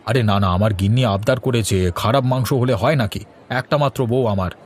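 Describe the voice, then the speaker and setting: A man's voice: medium pitch, quick pace, breathy edge, close to an everyday voice. A man playing a self-assured, bossy, well-off village husband in a cartoon. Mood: bossy, demanding. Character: doting, self-satisfied, bossy prosperous village cloth trader husband. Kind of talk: Bengali cartoon dialogue. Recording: light music behind the voice.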